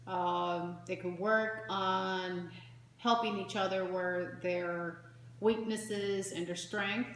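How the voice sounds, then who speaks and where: A woman's voice: on the low side, steady tone, takes it slowly, fairly bright tone. A woman co-hosting a talk show, chatting casually and offering advice. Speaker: co-host of a marriage advice show. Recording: roomy echo with faint background noise.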